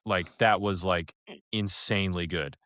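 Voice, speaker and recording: A man's voice: low-pitched, fairly even intonation, a little slow, bright, forceful tone, clean tone, no room sound, studio quality. A man co-hosting a podcast in a casual, slangy style, with emphatic, exaggerated turns of phrase. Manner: informal, slangy, emphatic hyperbole. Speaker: podcast co-host.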